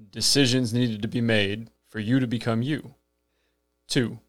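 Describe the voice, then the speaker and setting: A man's voice: medium pitch, dry recording. A millennial man hosting a podcast, delivering an earnest scripted monologue with emphatic rhetorical lists. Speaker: podcast host.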